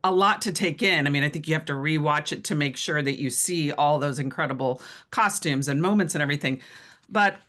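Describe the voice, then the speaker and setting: Female podcast host, low pitch with a bright, forward tone, casual and agreeable interviewing style. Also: fairly quick.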